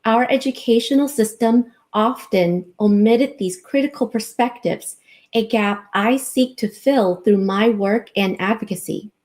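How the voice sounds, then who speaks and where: A woman in her forties, a researcher and advocate, giving a measured, scripted personal talk. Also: on the high side, darkish tone. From United States. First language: Vietnamese.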